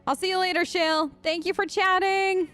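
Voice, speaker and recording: A woman hosting a let's play, improvising in-character dialogue in a chatty, expressive, reactive way. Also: very high voice, fairly quick, faint music behind. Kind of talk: improvised in-character role-play over a game.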